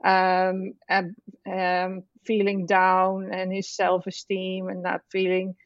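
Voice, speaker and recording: Slow-talking Dutch-accented woman. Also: medium pitch, monotone, no room sound, dull audio. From Netherlands. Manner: halting, many fillers and restarts. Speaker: author guest. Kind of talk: casual interview chat.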